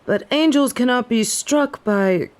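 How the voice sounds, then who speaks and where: A woman's voice: fairly high pitch, unhurried, close to an everyday voice. A woman playing a snobby fallen angel who is sick with a head cold, speaking in formal, archaic phrases for an audio drama. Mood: proud, defensive.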